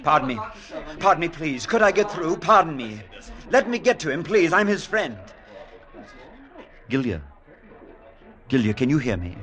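An actor playing a concerned, resolute priest who narrates, earnest and firm, in a late 1940s radio drama. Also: male voice, fairly high pitch, big pitch swings, normal speed, pauses often, a bit bright. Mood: urgent. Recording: vintage radio broadcast with clear music.

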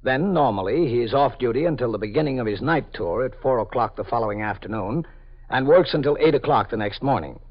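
An actor voicing a police captain narrating an old radio drama, measured and formal, with a mid-pitched, authoritative tone. The sound is muffled vintage broadcast. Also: male voice, animated, natural voice.

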